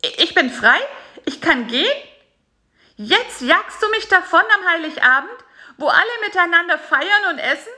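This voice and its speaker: A woman voicing a small excitable male fly with high, shrill outbursts in a storybook reading. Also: exaggerated voice. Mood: indignant. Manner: shrill, quick, squealing outbursts.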